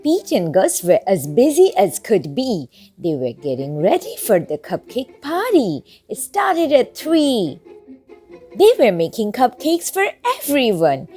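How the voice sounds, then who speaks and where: A woman reading a children's picture book aloud in an expressive, sing-song storytelling way over background music. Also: fairly high pitch, unhurried. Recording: music bed under the voice.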